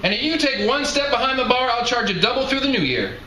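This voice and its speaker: A man's voice: very high voice, sing-song, fast, pushed and bright, voice pushed up, heavily acted. Man reading as chatty old woman bartender, echoey. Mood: hollering, mock stern. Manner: folksy, chatty. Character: chatty, blunt elderly woman bartender, retired. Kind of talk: novel reading aloud. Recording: echoey room, faint background noise.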